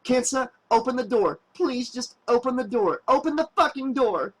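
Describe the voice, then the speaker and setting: A man's voice: high voice, normal speed, creaky, fairly bright tone, voice pushed up, full character voice. A man voicing a desperate young woman who screams and pleads through tears. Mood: frantic.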